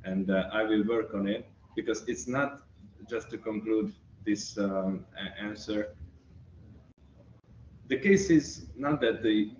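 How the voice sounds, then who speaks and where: A young Hungarian man with a Hungarian accent, a researcher answering interview questions thoughtfully, pausing often with fillers, recorded by a camera across an office room by a window. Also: fairly low pitch, a little mellow. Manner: thoughtful, with many pauses and fillers. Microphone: far camera. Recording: roomy, distant, muffled, faint hiss.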